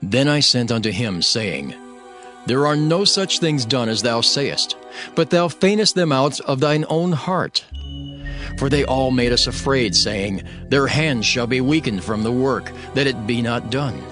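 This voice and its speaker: Man acting a solemn, steadfast ancient leader, mid-pitched. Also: expressive, measured pace, fairly bright tone. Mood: solemn, resolute. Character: steadfast, devout male leader recounting his own story. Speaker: narrator and lead character. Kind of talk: dramatized scripture narration. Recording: music behind the narration.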